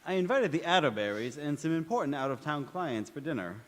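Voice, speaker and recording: A man's voice: a little high, expressive, fairly quick, lightly acted. A man playing a boyish, babied husband in 1940s radio comedy style, hesitant and placating. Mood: sheepish. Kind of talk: 1940s radio comedy acting, staged revival. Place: community theatre stage in a church. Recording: echoey hall, long reverb.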